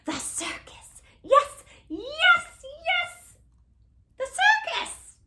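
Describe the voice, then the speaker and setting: A woman doing a shrill, screeching old witch. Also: very animated, takes it slowly, heavy background noise, full character voice. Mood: manic excitement. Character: greedy excitable old witch, female.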